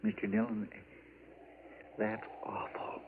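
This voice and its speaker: A man's voice: lowish voice, flat delivery, takes it slowly. An American man playing a worried, deferential, folksy deputy in a 1950s radio western. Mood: anxious. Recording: muffled, very noisy 1950s radio recording.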